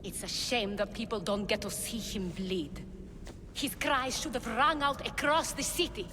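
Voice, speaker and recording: Woman playing a proud, high-voiced Greek leader. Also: measured pace, background noise, close to an everyday voice. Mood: grave. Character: proud, commanding female leader in ancient Greece. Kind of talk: video game cutscene acting.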